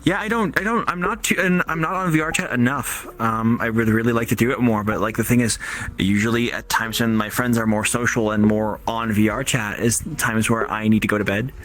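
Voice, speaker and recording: A man in his twenties talking casually, often stalling and restarting his sentences. His voice sits at an ordinary middle pitch. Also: fairly quick, a bit bright. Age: 20s. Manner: hesitant, restarts often, many ums. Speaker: guest streamer. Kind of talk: casual chat.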